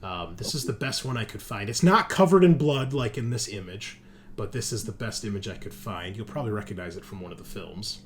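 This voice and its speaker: A man working as game master, improvising descriptive narration for a tabletop horror game in a halting way. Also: on the low side, lively intonation, crowd noise.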